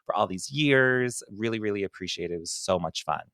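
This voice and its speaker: A man co-hosting a podcast in gushing, campy chat, tossing in "honey" and "oh my God." His voice sits fairly low and rises when excited. The sound is dry and close. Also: brisk, smooth, no echo. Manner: effusive, campy, says "honey". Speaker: podcast co-host. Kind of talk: casual chat. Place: Los Angeles.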